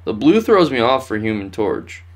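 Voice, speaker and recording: A man's voice: on the low side, very animated, moderate pace. A young man co-hosting a casual chat from a room at home, speaking in a laid-back, tentative way. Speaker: co-host of a pop culture news show. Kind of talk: casual chat, speculating and asking questions.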